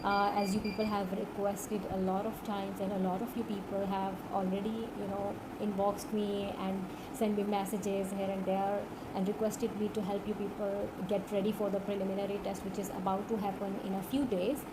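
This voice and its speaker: A woman tutor speaking English with a Pakistani accent, her first language being Urdu. She talks earnestly and without hurry, pausing often on filler sounds, at a middling pitch. A clear layer of background noise sits under her voice. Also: level tone. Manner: earnest, unhurried, many filled pauses. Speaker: online course tutor. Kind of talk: direct-to-camera teaching talk.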